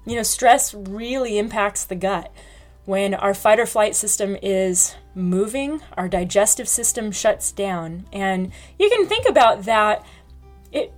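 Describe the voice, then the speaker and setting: A woman hosting a health podcast, talking frankly in a chatty way with many fillers. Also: medium pitch. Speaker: podcast host. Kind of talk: casual health podcast talk.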